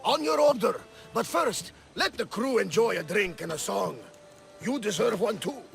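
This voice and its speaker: A man playing a hearty, loyal, battle-scarred ship's lieutenant in a cinematic video game, with a gravelly voice. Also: sits high, expressive, normal speed, soft background music, full character voice.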